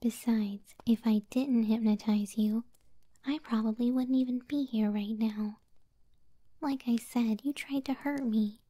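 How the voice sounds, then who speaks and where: A woman voicing a possessive, doting young moth-girl girlfriend in an ASMR roleplay, speaking softly, breathily and tenderly, close to the microphone. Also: fairly high pitch, fairly even intonation, no echo. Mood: possessive, pleading. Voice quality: soft, breathy, whispery. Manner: slow, tender, teasing. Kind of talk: ASMR audio roleplay, intimate soft speech.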